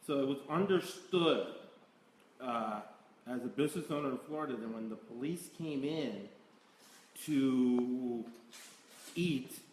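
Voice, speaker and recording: A man's voice: mid-range voice, a little slow, pauses often, distant mic. A man moderating a panel discussion, putting questions to the panelists in a conversational way. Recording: echoey room, voice from afar, faint hum.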